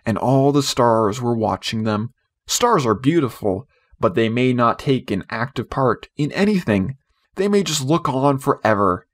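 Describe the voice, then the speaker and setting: Expressive male storybook narrator, medium pitch. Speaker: audiobook narrator. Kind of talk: scripted literary storybook narration.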